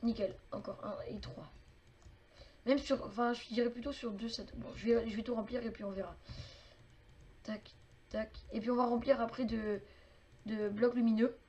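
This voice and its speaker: A woman walks through a game build in a loose, casual way. Her voice sits a little high, and she keeps filling pauses with fillers and small clicking noises as she works. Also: fairly even intonation, mellow, slight background noise. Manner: chatty, many fillers and clicking sounds. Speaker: game tutorial maker. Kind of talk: casual game tutorial narration.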